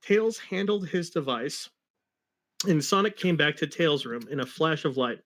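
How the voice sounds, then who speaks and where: Higher-voiced man reading a story aloud. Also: a little slow, a bit bright. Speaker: story reader. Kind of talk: dramatic reading of fan fiction, casual chat.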